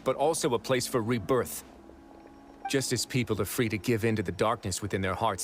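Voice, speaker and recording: An actor playing a composed, principled prison warden in a video game, speaking in a measured, eloquent and firm way. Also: male voice, on the low side, slight background noise, close to an everyday voice. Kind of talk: dramatic video game voice acting.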